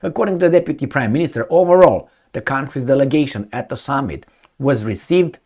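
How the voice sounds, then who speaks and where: A man's voice: medium pitch, lively intonation, a little fast, darkish tone. A middle-aged man reading a news article aloud as a commentator, breaking off with sarcastic asides and stumbling over words. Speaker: political commentator.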